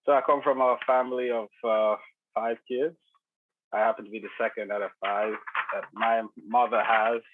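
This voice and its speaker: A man's voice: lowish voice, level tone, normal speed. Man on a tinny line. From Nigeria. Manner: chuckles often, hesitant restarts, many "you know" fillers. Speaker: podcast guest. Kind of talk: casual conversational storytelling.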